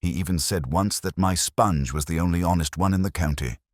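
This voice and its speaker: A man voicing a formidable, proud older woman, brisk and indignant, in a mystery story. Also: low-pitched, dry recording, studio quality, lightly acted. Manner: brisk, emphatic, wounded pride.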